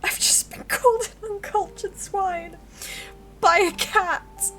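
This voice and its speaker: A woman livestreamer giving casual, expressive, giggly game commentary and reading the game's text aloud. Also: extremely high pitch, slow pace, soft background music. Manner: giggly, expressive, reacts out loud.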